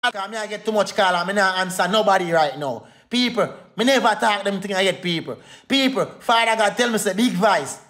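A man's voice: high-pitched, animated, bright, forceful tone. A man with a Jamaican Patois accent ranting angrily on a phone livestream, repeating insults over and over. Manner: repetitive, insulting, fast heated rant. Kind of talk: angry livestream rant.

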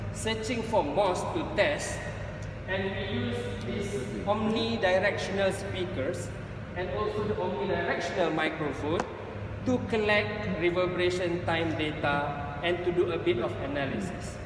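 A middle-aged man walks a conference audience through a technical subject, pausing to ask "okay?" before moving on. His voice sits high for a man. It comes from a camera far back in a large hall, so the sound rings with long reverb and steady room hiss. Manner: explanatory, checks in with "okay?". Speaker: conference presenter. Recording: echoey hall recorded from far off.

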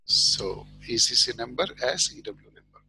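A man giving an informal screen-share software tutorial as a trainer, explaining step by step in halting short phrases. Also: mid-range voice, expressive, gravelly, slight background noise, low-quality recording.

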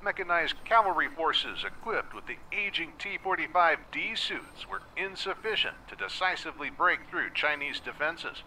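A man plays a folksy post-apocalyptic storyteller for a game, with a very bright, sharp, fairly high voice. The narration is scripted and measured, like an encyclopedia of wasteland lore. Faint noise runs behind it. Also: expressive, poor audio. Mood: measured storytelling.

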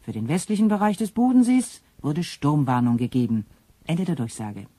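An actor playing a formal, businesslike radio traffic announcer in a radio play, reading bulletins precisely. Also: male voice, a little high, sing-song, normal speed, dark tone, husky. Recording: vehicle noise behind the announcement.

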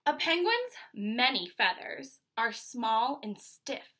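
A woman in her twenties with an American accent reads a children's picture book aloud like a teacher, pausing to explain and ask questions. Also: on the high side, sing-song, pushed and bright, a little hiss or hum. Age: 20s. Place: small furnished room at home, indoors.

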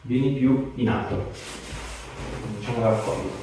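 A man's voice: medium pitch, fairly even intonation, normal speed, warm tone. A man, working as a chiropractor and giving short questions and instructions during an exam. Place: small bare treatment room, indoors. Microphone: camera a few feet away. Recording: echoey room, distant mic, faint noise.

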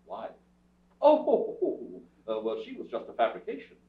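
Man playing a proper, flustered butler, swooping pitch. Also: huge pitch swings, moderate pace. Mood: flustered, reserved. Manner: formal, hesitant, proper. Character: polite, reserved butler, flustered by attention. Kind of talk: staged old-time radio comedy acting. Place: indoor theatre stage, distant camera. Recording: muffled, thin, echoey distant stage recording.

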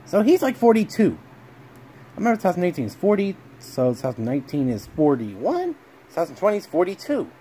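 Guy rambling over his home vid, lots of restarts, pitch all over, phone mic at home. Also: huge pitch swings, brisk, warm tone, slight background noise. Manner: rambling, muddled, frequent restarts. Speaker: video creator narrating. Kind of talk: casual home video narration.

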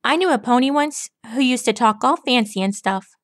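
A woman playing a cocky young female pegasus from a storybook reading, pitched well above the narrator's own voice and teasing in loose slang. The sound is dry and close, with no room around it. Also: animated, moderate pace, no echo, natural voice. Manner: teasing, casual slangy talk.

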